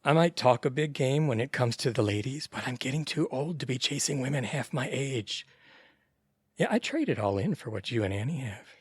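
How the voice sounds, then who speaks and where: A man's voice: mid-range voice, lively intonation, moderate pace, husky, no room sound, studio quality. A man acting as an easygoing, rueful middle-aged ladies' man in an audio comedy.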